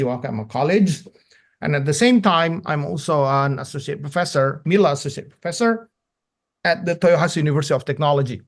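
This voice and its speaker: A man from Indonesia giving an informal conference talk online as an academic presenter, casual and self-deprecating. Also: a little high. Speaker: academic presenter, associate professor. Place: online video call.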